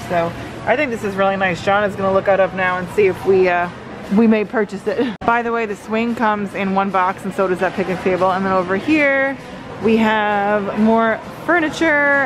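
A woman's voice: medium pitch, normal speed. A woman, chatting casually to viewers as a shopping vlogger, thinking aloud as the items come up. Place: large indoor warehouse store. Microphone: handheld vlog camera close to speaker. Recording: noisy big warehouse store ambience.

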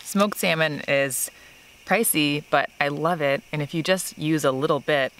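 A woman's voice: sits low, unhurried, a bit bright. Woman, 30s, casual vlog, chatty, self-deprecating, outdoors, arm's-length camera, faint background noise. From not from California. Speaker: vlogger. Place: outdoors by a tree in a park.

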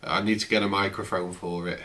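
A man in his fifties, croaky from a flu, chatting casually through an unboxing in his home office, recorded on a camera's built-in mic. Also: sits low, brisk. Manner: relaxed, chatty, trails off. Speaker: tech vlogger. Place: home office, indoors, seated at a desk.

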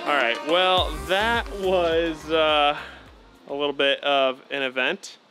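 Bright-voiced young man explaining. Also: fairly high pitch, takes it slowly, soft background music. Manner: explains with many fillers, easygoing. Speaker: vlogger. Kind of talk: casual renovation vlog.

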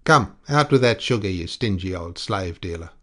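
A man voicing a furious, insolent colonial soldier in a storybook, snarling and mocking in shouted outbursts. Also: low voice, animated, moderate pace. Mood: sneering, irritated. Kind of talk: dramatic storybook character reading.

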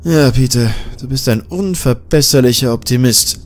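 A man's voice: mid-range voice, normal speed, slight background noise, voice pushed up, lightly acted. A man voicing a young female journalist who is nervous and easily startled. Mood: frightened. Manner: nervous, exclamatory.

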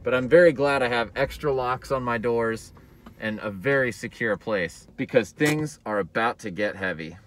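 Young man, car vlogger, slightly high voice, muffled through a mask, faint road hum behind. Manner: candid, chatty, worried. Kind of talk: casual vlog commentary. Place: inside a car. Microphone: camera on the dashboard. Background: faint car and street noise.

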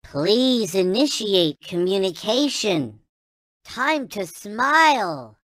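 A man's voice: sits high, sing-song, takes it slowly, fairly bright tone, dry recording, full character voice. A man voicing a tiny, childlike alien toy in a video game, speaking with reverent wonder in formal, chant-like phrases. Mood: solemn wonder. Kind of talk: video game character voice clips.

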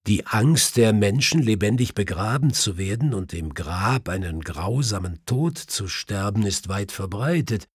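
Guy playing an earnest victorian doctor in an audiobook, husky and dry sound, careful formal explaining. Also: lowish voice, animated, a little slow, few pauses, dry recording. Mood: cautious, earnest. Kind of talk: audiobook character voice.

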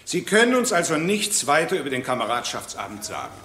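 An actor playing a stern, impatient presiding judge in a radio courtroom drama, formal and probing. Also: male voice, a little high, big pitch swings, a little fast, pushed and bright. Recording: echoey courtroom-like room.

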